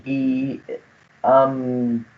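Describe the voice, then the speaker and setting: A woman playing a polite, formal manservant in a radio melodrama, with a deferential, measured manner. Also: very deep voice, level tone, dull audio, exaggerated voice. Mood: evasive, dry. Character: polite, dry, self-serving manservant to a gentleman. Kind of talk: melodramatic radio play acting.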